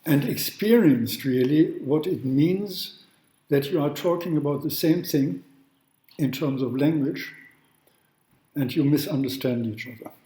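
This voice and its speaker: A man from Germany, a law professor giving a university lecture in a halting way, with restarts and throat clearing. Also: on the high side, warm tone. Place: large classroom. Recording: echoey room.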